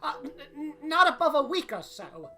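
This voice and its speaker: A woman's voice: high voice, big pitch swings, moderate pace, pushed and bright, faint music behind, full character voice. A woman playing a nervous, flustered court character in an audio drama, with a jittery, declamatory delivery. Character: nervous, flustered court figures: herald and witness.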